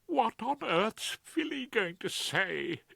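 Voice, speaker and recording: Man playing a panicky, high-swooping aggrieved barrister. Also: sing-song, slow pace, vocal fry, sharp tone, slightly rough, dry recording, exaggerated voice. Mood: horrified. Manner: plaintive, indignant, horrified. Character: anxious, aggrieved middle-aged male barrister, panicky and pleading. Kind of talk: audiobook character voice.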